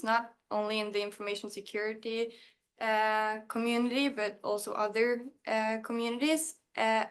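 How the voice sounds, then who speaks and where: A woman's voice: fairly high pitch, fairly bright tone. Norwegian-accented young woman giving a talk. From Norway. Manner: hesitant, many fillers and restarts, self-deprecating. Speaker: conference speaker. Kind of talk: conference talk. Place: conference hall.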